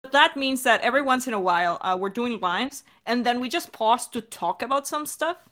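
A woman with a clearly bright, forward voice, pitched a little high, chats as a podcast director. She is informal and animated, and tends to repeat words for emphasis. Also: fairly quick. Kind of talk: casual creator Q&A chat.

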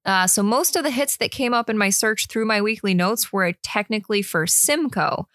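A woman's voice: mid-range voice, animated, moderate pace, fairly bright tone, dry recording, studio quality. A woman co-hosting a casual beer podcast, reading out her research notes with easy asides.

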